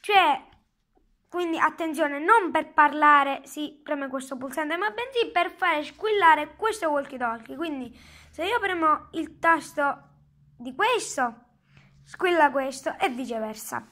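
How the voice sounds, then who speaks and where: Casual product reviewer, high voice, unhurried halting explanations. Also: lively intonation, sharp tone. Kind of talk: casual hands-on product demonstration. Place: indoors at a table at home. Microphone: handheld phone.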